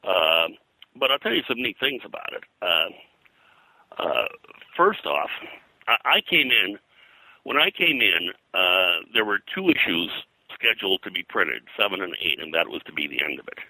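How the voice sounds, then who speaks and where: Deep-voiced older man, very bright and forceful. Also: big pitch swings, no room sound, low-quality recording. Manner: halting, detailed and explanatory. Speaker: interview guest, pop culture historian. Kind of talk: conversational interview, recollection and commentary.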